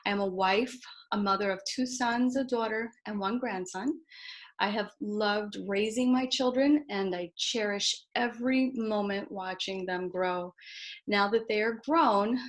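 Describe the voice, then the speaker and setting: A woman from Florida, around her forties, giving a prepared icebreaker speech on a video call in an earnest, measured way. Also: mid-range voice. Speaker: first-time speaker.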